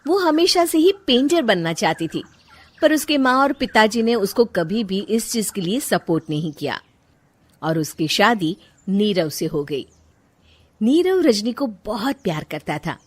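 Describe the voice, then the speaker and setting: A woman narrating a scripted cartoon story in an expressive storytelling style. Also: medium pitch.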